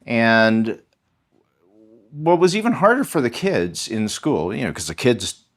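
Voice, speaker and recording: A man, a history professor, speaking as a podcast guest in a thoughtful, measured way with frequent ums and small restarts. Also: medium pitch, big pitch swings. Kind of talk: conversational podcast interview.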